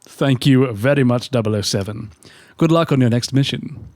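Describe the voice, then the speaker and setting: A man's voice: medium pitch, moderate pace, darkish tone, no echo, clean studio sound. A man co-hosting a scripted comic show, reading out fan mail.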